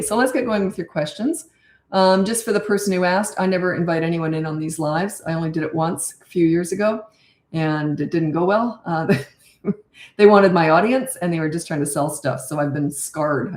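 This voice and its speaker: An older woman, a parenting coach, talking blunt and chatty with a raspy voice on a live-stream Q&A. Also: fairly low pitch, a little mellow, reverberant.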